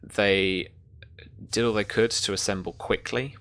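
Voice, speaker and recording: A woman narrating a tabletop sci-fi horror game as game master, halting as if searching through notes. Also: a bass voice, steady tone.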